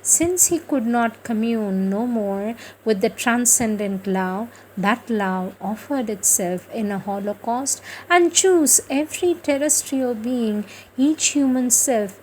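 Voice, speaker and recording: A woman reading spiritual texts and poetry in a solemn, deliberate, reverent recitation, repeating phrases for emphasis. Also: mid-range voice, darkish tone, a little hiss or hum, rough recording. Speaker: reader of devotional writings.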